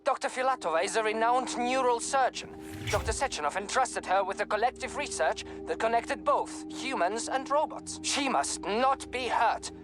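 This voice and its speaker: A man's voice: very high-pitched, lively intonation, moderate pace, over music. An actor playing a stern, officious superior in a video game, formal and insistent as he gives orders.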